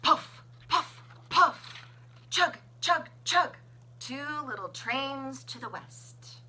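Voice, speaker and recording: A woman reading a children's picture book aloud as a librarian, with expressive, sing-song, deliberate phrasing. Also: high voice, a little hiss or hum. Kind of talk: children's storybook read-aloud.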